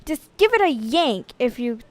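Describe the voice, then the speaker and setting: A woman voicing an excitable, outspoken schoolboy, eager and insistent, in a storybook reading. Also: high voice, measured pace.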